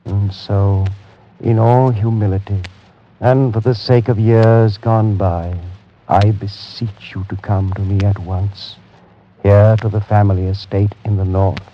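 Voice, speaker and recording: An actor playing an ailing, tormented aristocrat in 1940s radio drama, speaking in a formal, pleading manner. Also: male voice, on the low side, steady tone, measured pace, lightly acted. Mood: desperate, pleading. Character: ailing, tormented aristocrat reading out his desperate letter. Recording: muffled old radio recording, faint music.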